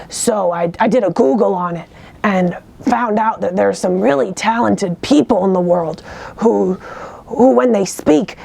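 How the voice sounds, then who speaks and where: A woman voicing an awkward young wannabe ASMR vlogger who talks in a hesitant, halting, oblivious way. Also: mid-range voice, gravelly, a little mellow, slightly rough, exaggerated voice. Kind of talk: comedic character monologue.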